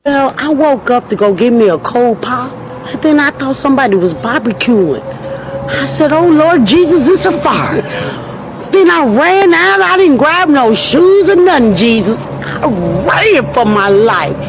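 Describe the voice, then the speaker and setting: A woman with a high voice tells a personal story in colloquial, exclamatory phrases. Her pitch swings widely, and music plays clearly behind her. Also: lively intonation, measured pace, rough recording. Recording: played clip with loud music under it.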